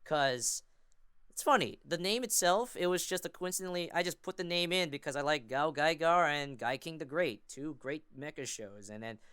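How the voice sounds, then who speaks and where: A man's voice: on the high side, moderate pace, fairly bright tone, smooth, dry recording, studio quality. A young man chatting casually as a podcast guest, rambling with a fan's enthusiasm. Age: young adult. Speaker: podcast guest, amateur voice actor and fandubber. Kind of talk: casual chat.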